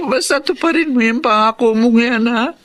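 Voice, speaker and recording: A woman's voice: fairly high pitch, unhurried, close to an everyday voice. An actress playing a tender, motherly elderly Filipino grandmother in a sentimental drama, with a plaintive delivery. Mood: tender, supportive. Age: older. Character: tender elderly grandmother, heartsick yet supportive. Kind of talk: sentimental radio drama acting.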